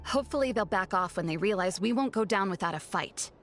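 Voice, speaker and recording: An actress playing a guarded, conflicted young female scout in a video game, brusque and at times halting. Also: medium pitch, lively intonation, faint music behind, lightly acted. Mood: troubled, hesitant.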